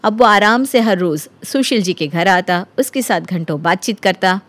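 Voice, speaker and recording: A woman's voice: mid-range voice, normal speed, fairly bright tone, no echo. A woman narrating a scripted story as its storyteller.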